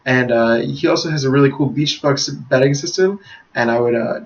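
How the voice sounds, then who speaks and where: An American man talks as a podcast co-host, casually laying out announcements and discussion. His delivery is measured and explanatory, at a middling pitch. The sound is a bit muffled, with the voice sitting back from the microphone. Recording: muffled, slightly distant microphone.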